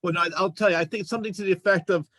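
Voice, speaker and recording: A man's voice: high-pitched, steady tone, very fast, fairly bright tone, no room sound, clean studio sound. A man hosting a casual interview, talking in an agreeable, encouraging, conversational way. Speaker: interview show host.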